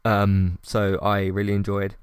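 A male podcast host with a calm, low voice, talking casually through his recent episodes and match ratings and often starting over or stumbling on fillers. His tone is smooth and resonant, and the recording is dry and close. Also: measured pace, no echo. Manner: calm, conversational, with frequent fillers and restarts. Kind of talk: solo podcast commentary.

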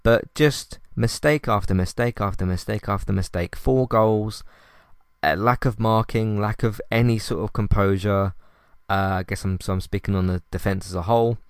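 Man, podcast host, low voice, smooth tone, dry close sound, calm conversational delivery, filler words. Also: normal speed, dry recording. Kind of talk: solo podcast commentary.

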